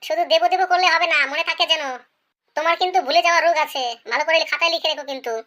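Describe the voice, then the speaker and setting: Cartoon comedy village woman played by an actress: piercingly high, fast-talking, chatty and wheedling. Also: fairly even intonation, sharp tone. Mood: scheming, bossy.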